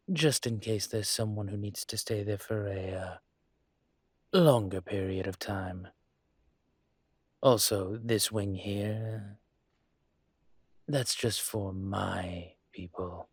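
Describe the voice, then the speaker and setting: Man playing a slow, husky dragon mafia boss. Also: lowish voice, steady tone, no echo, clean studio sound. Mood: calm, assured. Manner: measured, polite, deliberate with pauses. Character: calm, courteous male dragon mafia boss, protective. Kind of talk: scripted roleplay audio monologue to listener.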